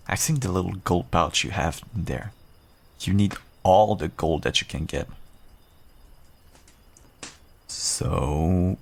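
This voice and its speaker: Seasoned werewolf adventurer for fantasy audio roleplay, male actor: very low, gravelly, gruff yet kindly, teasing and reassuring. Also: heavily acted. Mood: encouraging, wry. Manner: reassuring, wry, bantering. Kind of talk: audio roleplay, one-sided dialogue addressed to listener.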